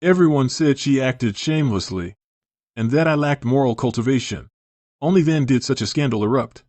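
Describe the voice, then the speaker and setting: Composed imperial prince, mid-pitched male actor. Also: expressive, a little mellow, no room sound, close to an everyday voice. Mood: composed, accusing. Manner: formal, deliberate. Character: composed, shrewd imperial prince arguing in court. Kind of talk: costume court drama acting.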